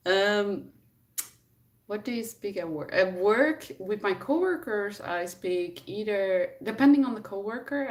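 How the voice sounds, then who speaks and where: A woman's voice: lowish voice, distant mic. A woman from the Netherlands, a native Dutch speaker, chatting casually and thinking aloud as she answers viewers on a live stream. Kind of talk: casual chat, reading and answering questions.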